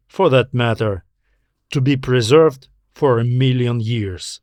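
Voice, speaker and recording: A man's voice: medium pitch, no room sound, studio quality. A man reading a scripted science fiction story as an audiobook narrator.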